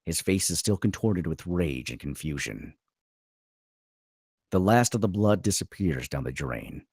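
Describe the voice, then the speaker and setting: A man's voice: sits low, lively intonation, a little slow, a little mellow, husky, no echo, clean studio sound. A man reading screenplay stage directions as dramatic scripted narration.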